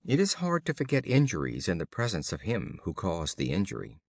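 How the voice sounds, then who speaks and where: A man reading children's fables as an audiobook narrator, in a measured, formal storybook style. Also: low-pitched, huge pitch swings, gravelly, no echo, clean studio sound.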